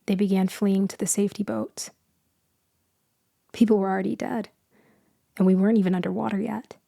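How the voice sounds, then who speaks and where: Actress as haunted female survivor for audio roleplay: hushed, halting, mellow, close and dry. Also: mid-range voice, vocal fry, no echo. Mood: grim, fearful. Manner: halting, hushed, dramatic pauses. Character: shaken, grieving woman survivor recounting a shipwreck. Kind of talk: intimate storytelling ASMR roleplay.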